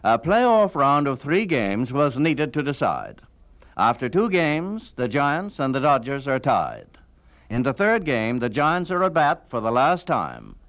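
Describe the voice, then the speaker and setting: A man's voice: medium pitch, huge pitch swings, a little slow, fairly bright tone. A man hosting and narrating a scripted radio documentary about the past.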